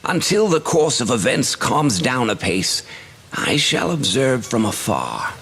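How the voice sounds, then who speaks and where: Give me an aloof, amused sorcerer for a fantasy game. He is wry and theatrical, slow, with a widely swinging pitch. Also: sing-song, vocal fry, a bit bright, slightly rough, heavily acted, poor audio. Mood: wry amusement. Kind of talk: dramatic video game voice acting.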